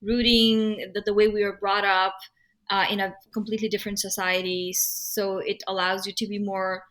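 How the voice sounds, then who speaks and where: A woman from Nepal talking in a casual panel discussion, animated and emphatic, quick to laugh at herself. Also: medium pitch. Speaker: podcast panelist.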